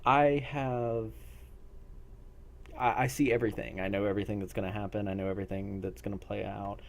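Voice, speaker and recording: A man speaking as a game master, explaining a role-play session in a deliberate, measured way. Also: on the low side, steady tone, vocal fry, slight background noise.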